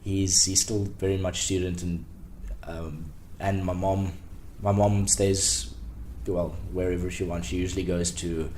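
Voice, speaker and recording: A man's voice: low voice, unhurried, hardly any pauses, a little hiss or hum. A young man in his twenties talking casually as a podcast guest, rambling a little with fillers and restarts. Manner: relaxed, rambling with many ums and restarts. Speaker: podcast guest, startup founder. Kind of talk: casual interview chat.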